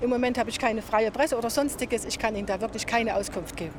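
A woman's voice: a little high, fast talker. A woman giving casual answers as a passer-by in an outdoor street interview. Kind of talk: casual vox-pop answers. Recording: noisy outdoor street recording.

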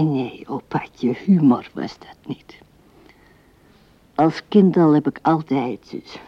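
A woman's voice: deep voice, dry recording. Een vrouw speelt een oude weduwe die mijmerend en haperend tegen haar overleden man praat in een hoorspel. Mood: wistful amusement. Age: older. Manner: musing, halting, with breathy asides. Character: old widow musing tenderly to her dead husband. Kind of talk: radio drama monologue. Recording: dull, band-limited 1970s radio recording.

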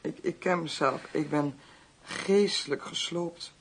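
A woman playing a despairing, exhausted older mother in a radio drama, her voice husky and creaky. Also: low voice, unhurried, heavily acted, low-quality recording. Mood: weary, despairing.

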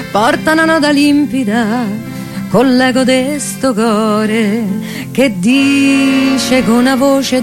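A woman with a high voice sings folk songs in a Roman accent. She takes the words very slowly, with an acoustic guitar clearly playing behind her. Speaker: singer. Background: acoustic guitar accompaniment.

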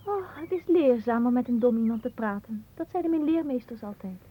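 A woman's voice: on the high side, animated, fairly quick, narrow, tinny audio. An actress playing a capricious, haughty young woman in a radio play, teasing and theatrical, with a dreamy storytelling way. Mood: condescending. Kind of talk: Dutch radio play acting.

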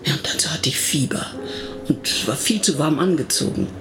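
A man with a gravelly voice narrating a radio drama in the first person, reminiscing in a conversational way. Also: a little high, animated, moderate pace, over music.

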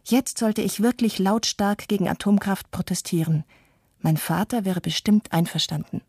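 A woman voicing a guilt-ridden adult who reflects on her life in a measured way, as scripted radio documentary narration. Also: mid-range voice, darkish tone, slightly rough. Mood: reflective, regretful. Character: guilt-ridden adult woman confessing lifelong fear and inaction.

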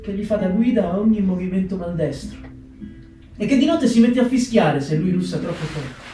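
An actor playing a compassionate wartime camp letter writer, in an expressive theatrical reading with dramatic pauses. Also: male voice, high voice. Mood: tender, wry. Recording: echoey hall, distant mic, loud guitar. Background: live plucked guitar music.

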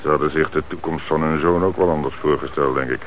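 Police commissioner: deep, composed, in command, businesslike. Radio drama with slight background hiss. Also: male voice, fairly even intonation, quick pace. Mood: calm authority. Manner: businesslike questioning and orders. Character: calm, authoritative male police commissioner leading an investigation. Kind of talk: radio play acting. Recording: noisy old radio drama recording.

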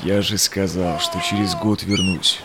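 A man's voice: low-pitched, steady tone, measured pace, noisy background. An actor playing a resolute, loyal Cossack explorer in a verse audio drama, declaiming his rhymed lines. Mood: solemn, tender. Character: Semyon Dezhnev, resolute loyal Cossack explorer, devoted husband.

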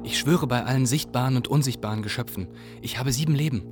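An actor playing a boastful survivor, speaking emphatically in a radio drama monologue. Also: male voice, medium pitch, fast talker, natural voice. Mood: boastful, solemn. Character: boastful survivor swearing he has many lives. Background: music.

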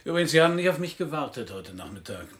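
An actor playing a hard-boiled, middle-aged private detective in a radio crime play, dry, laconic and slangy. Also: male voice, fairly high pitch, sing-song, quick pace, slightly rough. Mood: matter-of-fact. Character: hard-boiled, sardonic male Parisian private detective narrator. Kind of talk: noir radio play narration and dialogue. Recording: radio play, some background noise.

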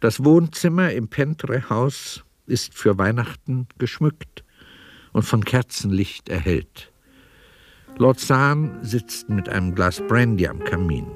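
A man's voice: mid-range voice, expressive, slow, music in the background. A man reading scripted scene narration for a radio drama as its narrator.

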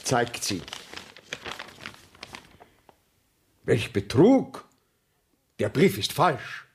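A stately court official in a radio drama. His speech is formal and declamatory, with commanding authority and a pitch that swings widely from phrase to phrase. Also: huge pitch swings, takes it slowly, a little hiss or hum, full character voice. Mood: indignant. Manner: declamatory, formal, precise diction. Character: male court councillor, formal, commanding official inspecting a village court. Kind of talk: radio play acting.

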